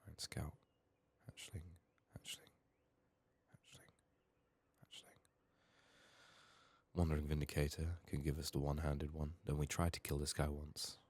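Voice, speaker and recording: A man speaking softly and sometimes whispering, muttering his thoughts aloud as he commentates a game for ASMR. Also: a bass voice, level tone, measured pace, long pauses, husky, dry recording. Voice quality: hushed, soft, sometimes slipping into whisper. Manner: muttering, thinking out loud. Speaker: gaming video host. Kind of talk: soft-spoken ASMR game playthrough commentary.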